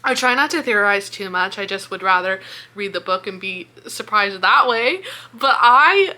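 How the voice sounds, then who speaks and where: An American woman chatting casually as a book vlogger, excitable, dramatic and freely swearing. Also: mid-range voice, moderate pace, a little hiss or hum. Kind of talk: casual reading reaction chat.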